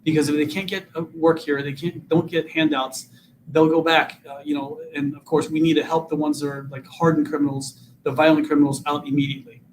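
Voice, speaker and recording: A man's voice: a little high, little pitch movement, brisk, slight background noise, low-quality recording. A man in his fifties, originally from Vietnam, speaking earnestly as an interview guest and often repeating words as he starts sentences. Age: 50s. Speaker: guest, political candidate.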